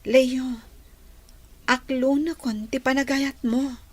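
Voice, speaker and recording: A coy young maiden in love speaks in a high, slow, tender voice. Her Ilocano accent and careful phrasing suit an old-style radio romance serial. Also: fairly even intonation. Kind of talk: Ilocano radio drama acting.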